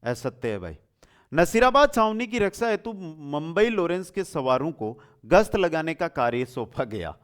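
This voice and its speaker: A man's voice: fairly high pitch, big pitch swings, normal speed, clean tone. A man teaching an exam lesson in question-and-answer form, repeating answers and addressing students familiarly with rhetorical questions.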